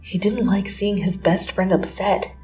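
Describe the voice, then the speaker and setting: A woman's voice: medium pitch, fairly even intonation, a little slow, warm tone, low-quality recording. A woman giving a dramatic reading of fan fiction as an amateur narrator, with music behind her. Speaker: amateur story reader.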